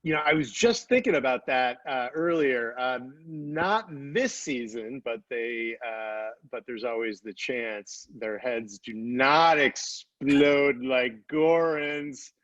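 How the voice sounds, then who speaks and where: A man with a mid-pitched voice talks casually as a panel guest, often stopping to search for words. The sound is dry and a little muffled, with no room around it. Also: slow pace, few pauses, a bit bright, dry recording. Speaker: TV writer and producer on a panel.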